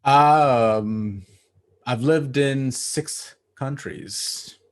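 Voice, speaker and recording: A man in his late thirties, born in Bangladesh, chatting casually as a podcast guest over a video call, relaxed and laughing, with lots of fillers. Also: mid-range voice, very slow. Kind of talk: casual interview chat.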